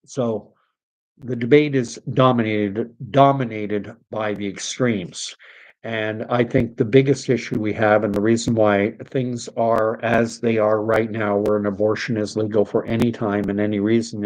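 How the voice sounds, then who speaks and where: A middle-aged man from Canada hosts a live stream, reading viewer comments aloud and drifting off topic. His voice sits on the low side and is tiring, hoarse from talking for an hour. He hesitates often, filling gaps with ums and uhs. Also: darkish tone, low-quality recording. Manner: rambling, hesitant, many fillers. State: losing his voice.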